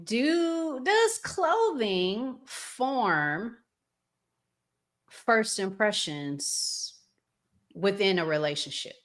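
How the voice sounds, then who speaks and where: A woman's voice: mid-range voice, big pitch swings, extremely slow, fairly bright tone. A woman hosting a casual podcast, setting up topics and putting questions to her co-host. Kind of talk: casual talk, introducing topics and asking questions.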